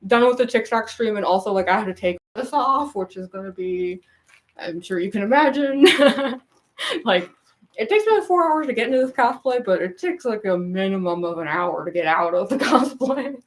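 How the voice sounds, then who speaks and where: A young woman with a rusty, gruff voice and a goofy, frequent laugh chats with her livestream viewers. She rambles in a casual way, full of fillers and little restarts. Her pitch sits in the middle of the usual range for a woman. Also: expressive, normal speed. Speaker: cosplay livestreamer.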